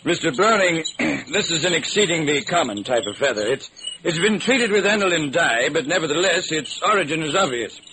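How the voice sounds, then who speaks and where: Casting: male actor as fussy ornithologist, high pitched, precise and formal, vintage radio with background noise. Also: expressive, a little fast, natural voice. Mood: pedantic. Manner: precise, formal, throat-clearing academic. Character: pedantic male bird expert, fussy and scholarly. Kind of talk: old-time radio drama acting. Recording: noisy old radio recording.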